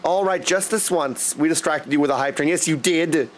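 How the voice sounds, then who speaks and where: A man's voice: a little high, moderate pace, a little hiss or hum. An exuberant, slangy man chatting casually with viewers as he livestreams and comments on a game. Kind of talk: casual live stream chat and game commentary. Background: game music.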